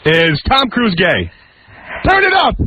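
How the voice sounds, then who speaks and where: A man with a strikingly high, bright, forceful voice and wildly varied pitch badgers a guest. He is bossy and impatient, and the broadcast sounds dull and noisy. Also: huge pitch swings, slow. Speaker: radio show personality questioning a guest. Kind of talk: confrontational radio banter. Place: radio studio.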